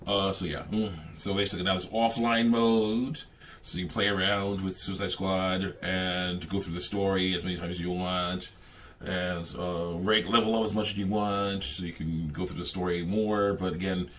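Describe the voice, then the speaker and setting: A man with a fairly low voice hosts an informal game reaction. He rambles haltingly, often humming or chuckling between thoughts, on a home recording with faint noise. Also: little pitch movement, fairly bright tone. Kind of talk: casual off-the-cuff reaction commentary.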